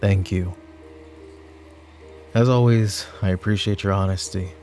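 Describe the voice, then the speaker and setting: A man playing a weary, exasperated mafia boss in an ASMR audio drama, talking in a dry, halting way. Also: low-pitched, steady tone, darkish tone, soft background music. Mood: weary, troubled. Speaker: voice actor in audio roleplay.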